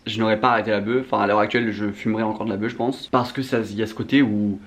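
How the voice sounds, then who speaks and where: A young man from France speaks at a very quick clip in a slightly low voice. He has a French accent and talks as in a casual confessional vlog, frank and slangy, readily poking fun at himself. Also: steady tone. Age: young adult. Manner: candid, self-mocking, slangy. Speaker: vlogger.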